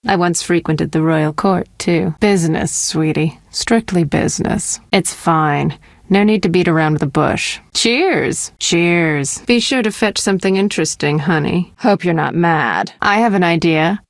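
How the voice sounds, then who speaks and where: An actress playing a streetwise escort in a fantasy video game, flirtatious yet tender, with a coy, sweet-talking manner. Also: female voice, on the low side, measured pace, no echo, clean studio sound. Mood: flirtatious, teasing.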